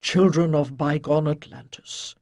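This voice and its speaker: A narrator voicing a wise old male priest in a fantasy storybook, calm and gently chiding, with measured, weighty delivery. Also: medium pitch, dry recording, clean studio sound, natural voice. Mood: gentle, earnest. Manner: measured, declamatory, pausing for weight.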